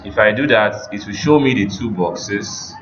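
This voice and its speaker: A man's voice: on the low side, moderate pace, fairly bright tone, highs cut off, a little hiss or hum. A man teaching a step-by-step coding lesson, giving students instructions and checking that they follow along. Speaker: web development instructor.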